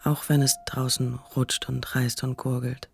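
A woman's voice: very deep voice, little pitch movement, unhurried, gravelly, slight background noise. A woman narrating a literary radio play from a script.

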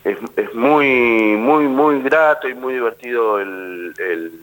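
A man's voice: mid-range voice, slow, a bit bright, rough recording. A man with an Argentine accent talking casually as an actor being interviewed, often repeating words as he forms his thoughts. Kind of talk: casual radio interview talk.

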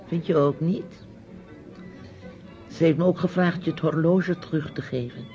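A man's voice: fairly high pitch, unhurried, mellow, close to an everyday voice. An actor playing a reproachful, patronising uncle questioning his niece in a radio play. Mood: brisk, pointed. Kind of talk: radio drama acting. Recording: dull old radio play recording, music.

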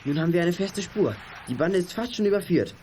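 A man's voice: sits high, lively intonation, brisk, mellow, slight background noise. An actor playing a keen, confident boy detective who leads his friends in a children's radio play. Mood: eager. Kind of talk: 1980s German children's radio play acting.

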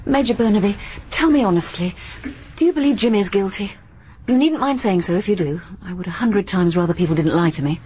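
A woman's voice: mid-range voice, expressive, a little fast, darkish tone, breathy edge. Poised, forthright young lady. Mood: earnest, direct. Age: young adult. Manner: polite, forthright. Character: poised, direct, determined well-bred young woman. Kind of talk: broadcast radio drama acting.

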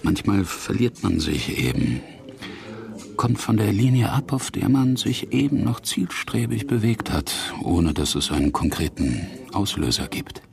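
Radio drama role: disillusioned barfly teacher, deep and creaky, musing, wry, halting delivery. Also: male voice, big pitch swings, a crowd in the background, full character voice. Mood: resigned, musing. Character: disillusioned male drinker, ex-academic German teacher, wistful, wry. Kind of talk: conversational radio drama acting.

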